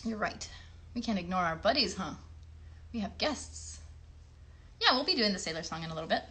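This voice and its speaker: A woman's voice: mid-range voice, lively intonation, fairly quick, pushed and bright. A woman hosting a casual children's livestream, encouraging young viewers and teaching crafts with frequent little check-in questions. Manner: encouraging, addresses children directly with tag questions.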